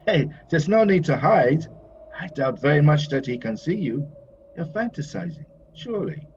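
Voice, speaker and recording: A man's voice: fairly high pitch, lively intonation, moderate pace, darkish tone. An actor playing a whimsical, courtly male painter in an audio play, moody yet carefree, with a theatrical, lyrical way of talking. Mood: doubtful. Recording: dull, slightly noisy recording.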